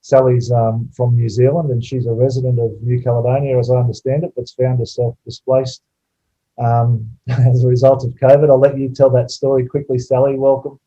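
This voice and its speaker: A man hosting an online webinar over a video call, relaxed and hesitant with many fillers. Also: medium pitch, a little fast, lo-fi sound. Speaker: webinar host.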